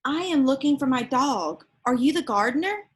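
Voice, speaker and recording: An eager young girl from a picture book, voiced lightly by a woman reader. She speaks high, in exclamations and keen questions, well-mannered but ready to boss. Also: lively intonation, normal speed. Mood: eager, surprised.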